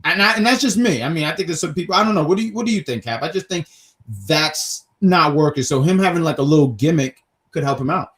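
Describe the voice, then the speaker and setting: Animated man joking through casual commentary. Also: a little high, fast. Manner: joking, teasing, rambling with repeated phrases. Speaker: co-host of a wrestling review show. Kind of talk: casual chat, opinionated commentary.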